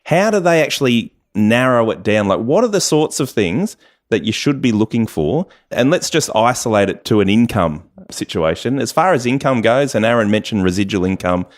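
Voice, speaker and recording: A man's voice: mid-range voice, very animated, brisk. A man hosting a radio chat, interviewing guests in a conversational style. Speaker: radio show host.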